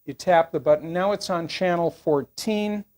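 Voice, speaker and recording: An older man giving a casual, unscripted product demonstration, talking in a halting, rambling, matter-of-fact way. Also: sits high, darkish tone. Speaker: product reviewer.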